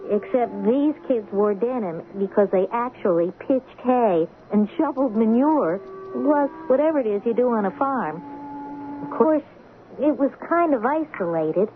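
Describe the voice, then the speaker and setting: An actress playing a lively, questioning teenage high school girl in a radio drama, expressive and wry. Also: close to an everyday voice. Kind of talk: 1970s radio drama acting with first-person narration. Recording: muffled lo-fi radio recording with hiss.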